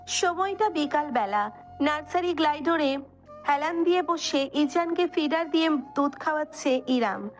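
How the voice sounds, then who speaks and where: A woman's voice: high-pitched, expressive, a little slow. A woman reading scripted narration for a romantic story. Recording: music under the voice. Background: background music.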